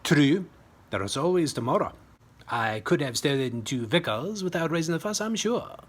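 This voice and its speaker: A man's voice: fairly high pitch, expressive, moderate pace. A man voicing a small, skeptical WWI pilot in a storybook reading, with dry, teasing sarcasm.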